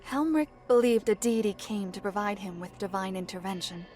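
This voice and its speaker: A composed female seer, played by a woman, telling a grave fantasy tale. She speaks formally and carefully at a medium pitch, with faint music underneath. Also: a little fast, darkish tone, natural voice. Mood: grave storytelling. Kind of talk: visual-novel audio drama storytelling.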